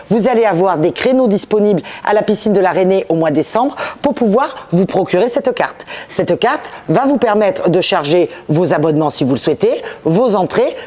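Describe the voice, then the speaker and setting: A woman's voice: mid-range voice, dark tone. A woman presenting a guided tour to viewers, explaining things directly as a local official. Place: on location at a new indoor swimming pool and outside it.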